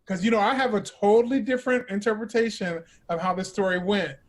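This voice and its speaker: A man with a notably high-pitched voice hosts an informal chat. He speaks emphatically and teasingly, often turning to address his listeners directly. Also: brisk. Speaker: host.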